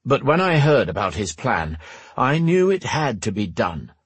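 A man's voice: medium pitch, sing-song, unhurried, breathy edge, dry recording, pitched above the performer's own voice, exaggerated voice. A man voicing a suave, formally courteous male rogue with an amiable manner in an audiobook. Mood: conciliatory. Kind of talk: audiobook character dialogue.

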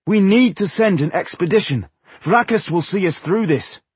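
A man acts a young dwarf hero from a fantasy storybook, earnest and eager. The voice is raised well above his narrating pitch and very husky. It swings with urgent, impassioned emphasis. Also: lively intonation, normal speed, dry recording. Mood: impassioned pleading.

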